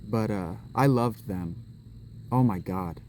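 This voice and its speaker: A man with a low, mellow voice rambles to his camera while walking outside. He often restarts his sentences and drifts from one thought to the next. A faint hum of outdoor ambience sits behind him. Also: takes it slowly. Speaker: vlogger. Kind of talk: rambling spoken vlog monologue. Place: outdoors on a walk in public. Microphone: camera held while walking.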